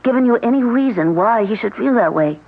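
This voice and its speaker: An actress playing a recently widowed woman, hesitant and soft-spoken, in a 1970s radio drama. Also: on the high side, lively intonation, brisk, creaky. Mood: anxious. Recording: narrow-band old radio broadcast.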